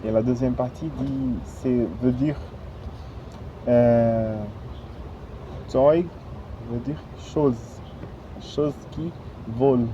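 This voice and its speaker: A man speaks slowly and haltingly, pausing often to search for the right word. His voice sits in a middle pitch. The recording is dull and lo-fi, made outdoors with clear traffic noise from the street around him. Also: steady tone. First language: Portuguese. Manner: halting, searching for words, many fillers. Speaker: language learner. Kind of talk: casual vlog monologue.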